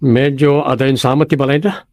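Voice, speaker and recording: A man's voice: mid-range voice, flat delivery, moderate pace, darkish tone, no room sound, natural voice. Actor as easygoing loving young man, mid-range voice, conversational, dry studio sound, radio drama. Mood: casual. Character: affectionate young office worker, easygoing husband-to-be. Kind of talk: Ilocano radio-style drama dialogue.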